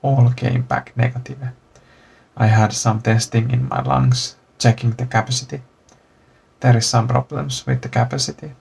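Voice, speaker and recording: A man in his thirties from Finland, telling his own story as a patient, halting and searching for words. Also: medium pitch, fairly even intonation, gravelly, darkish tone, slightly rough. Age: 30s. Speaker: patient giving testimony. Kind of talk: recorded personal testimony.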